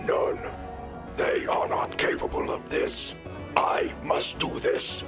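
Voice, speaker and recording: A man's voice: music in the background, lightly acted. A man playing a menacing, imperious warlord king in an audio drama, with a harsh whispery growl and grandiose delivery. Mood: grim resolve. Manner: grandiose, imperious, slow pronouncements. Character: menacing undead warlord king, commanding and vengeful. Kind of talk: audio drama villain acting.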